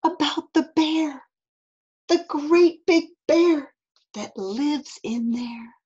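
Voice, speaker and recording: A woman's voice: high-pitched, drawn-out words, darkish tone, muffled recording, voice pushed up. A woman voicing a timid, frightened little boy who gasps and pleads tearfully in a children's story. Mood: scared. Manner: gasping, squealing, tearful. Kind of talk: children's picture-book read-aloud.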